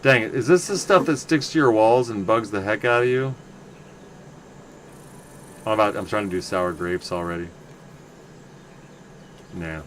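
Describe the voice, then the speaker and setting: Man, low voice, loud, rambling game commentary, self-correcting, noisy background hum. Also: lively intonation, a little slow, pauses often. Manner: rambling, self-correcting, chatty asides to viewers. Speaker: livestream game player. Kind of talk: casual gameplay commentary.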